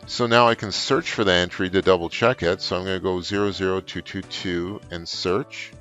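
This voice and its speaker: A middle-aged man explains software step by step as an unscripted tutorial, with frequent hesitant pauses. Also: deep voice, steady tone, lo-fi sound, faint music behind. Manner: halting, frequent filled pauses. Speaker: trainer, tutorial presenter. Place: office room indoors.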